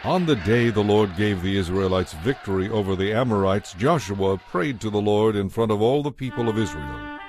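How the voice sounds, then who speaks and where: A man narrating scripture from a script in a measured, solemn, dramatic way. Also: fairly low pitch, animated. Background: music.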